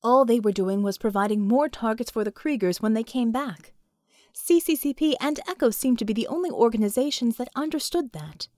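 A woman's voice: on the high side, a little mellow, no room sound, clean studio sound. A woman reading a story aloud as scripted audiobook narration.

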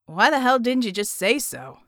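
A woman's voice: a little high, very animated, moderate pace, a bit bright, no echo, clean studio sound. A woman voicing a brash, playful male rogue, exasperated and boozy, with loose slangy delivery in an audiobook.

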